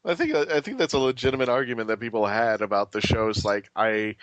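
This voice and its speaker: A man's voice: mid-range voice, expressive, fairly quick, a bit bright, no echo. A man talking casually as a panelist on a fan podcast.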